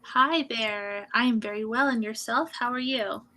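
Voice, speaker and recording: Tutor, woman, from Canada, higher pitch with a bright tone, warm encouraging manner, video call. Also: unhurried, poor audio. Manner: friendly, encouraging, gently corrects. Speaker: English tutor. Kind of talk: online conversation lesson.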